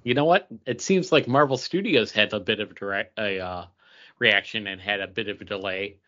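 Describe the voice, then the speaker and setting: A man's voice: on the low side, a little fast, pushed and bright, muffled recording. A man co-hosting a podcast, chatting casually about geek culture with a wry, play-along manner. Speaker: podcast co-host.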